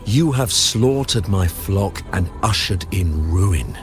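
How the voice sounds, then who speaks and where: A man's voice: deep voice, animated, slow pace, soft background music, full character voice. An actor playing a wrathful, zealous holy man in a video game, preaching judgment in a solemn, grandiose way. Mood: righteous wrath. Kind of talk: theatrical video game voice acting.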